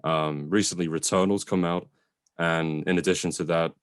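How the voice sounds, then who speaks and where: A man's voice: sits low, fairly even intonation, moderate pace, smooth, dry recording, clean studio sound. A young man hosting a casual review chat as a podcast host. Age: young adult.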